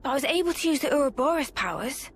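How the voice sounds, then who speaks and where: A young game heroine, high voice, earnest, soft. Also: female voice, animated, fast talker, a little hiss or hum.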